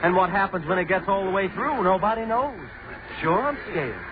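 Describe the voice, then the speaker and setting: An actor playing a rough-spoken, agitated common man in a crowd, colloquial and dramatic, in old-time radio style. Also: high-pitched, lively intonation, a little fast, phone-quality sound, background noise. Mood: scared. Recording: thin old radio recording, crowd behind.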